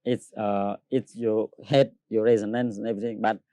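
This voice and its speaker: A man with a Cambodian accent, Khmer his first language, speaks in a low, mellow voice. He answers interview questions in halting English, stopping often for fillers and laughing as he goes. The sound is dry and close, with no room around it. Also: level tone, no echo. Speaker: opera tenor, interview guest.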